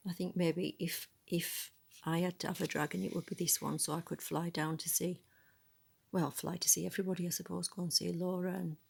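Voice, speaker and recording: A woman reading a picture book aloud in a soft, breathy voice, adding musing, conversational asides about the illustrations. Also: lowish voice, level tone, brisk. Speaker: storybook reader.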